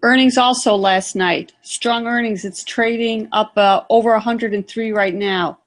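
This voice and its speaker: A woman's voice: on the high side, fairly even intonation, a little slow. A woman co-hosting a stock trading show, adding brief, matter-of-fact market remarks. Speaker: co-host. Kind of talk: live market commentary.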